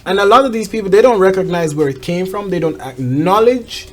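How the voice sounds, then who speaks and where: A woman's voice: medium pitch, expressive, a little fast. An outspoken woman talking frankly and emphatically in a casual, opinionated conversation. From Jamaica. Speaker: talk show host.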